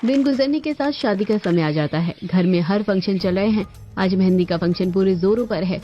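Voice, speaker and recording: A woman narrates a cartoon story in a scripted storytelling style at a middle pitch. The audio is slightly dull and lo-fi, with music faintly behind her. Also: a little fast.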